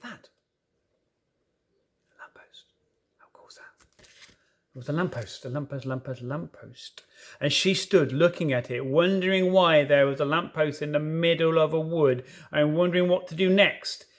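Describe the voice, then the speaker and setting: A man reading a children's story aloud in an expressive, dramatic way, with playful asides. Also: a little high, normal speed, long pauses. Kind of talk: animated storybook narration.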